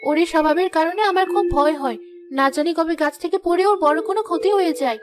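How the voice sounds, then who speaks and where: A woman's voice: extremely high pitch, fast, over music. A woman voicing an anxious, scolding village mother in a cartoon fairy tale. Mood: worried scolding. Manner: exasperated, nagging.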